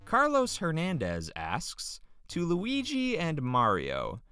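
A man's voice: on the high side, wildly swooping pitch, slow, pushed and bright, smooth, no room sound. A man reading viewers' comments aloud as the question reader of a show.

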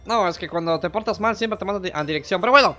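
A man's voice: high-pitched, quick pace, bright, forceful tone, soft background music. A man playing a pompous, sleepy, scatterbrained male authority figure, blustering and stumbling over words in a comic gaming roleplay.

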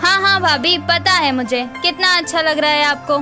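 A woman voicing a quick-tempered, dramatic family woman in a cartoon, with emphatic delivery. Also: very high-pitched, music in the background. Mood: angry, taunting.

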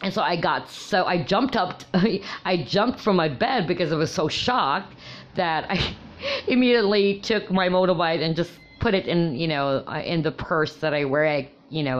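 Low-voiced chatty woman, laughing. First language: Tagalog. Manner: chatty, laughing often, many fillers and restarts. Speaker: crystal vlogger. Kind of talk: casual personal storytelling.

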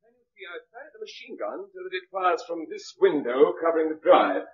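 Brisk, polite British man, quick-talking. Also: on the high side, sing-song, slightly rough. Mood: brisk, matter-of-fact. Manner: polite, chatty, upper-middle-class. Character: brisk, civil, self-appointed male stockbroker defender. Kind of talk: mid-century British radio drama acting. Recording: dull, noisy old radio recording.

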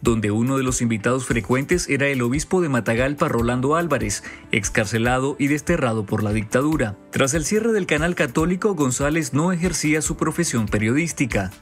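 A man reading a scripted news voice-over in a steady, formal delivery. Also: mid-range voice, brisk, hardly any pauses, faint music behind. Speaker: news narrator.

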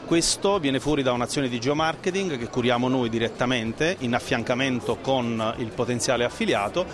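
A man's voice: mid-range voice, lively intonation, normal speed, a crowd in the background. A middle-aged man explaining a business as an interview guest, speaking into a handheld microphone. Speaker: franchise consultant, interview guest. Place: trade fair stand indoors. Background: background music.

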